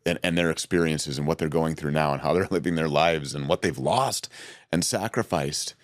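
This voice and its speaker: Very deep-voiced male podcast host. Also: moderate pace, no echo, studio quality. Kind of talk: casual interview chat. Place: small podcast studio, indoors. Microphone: close podcast microphone on a boom arm.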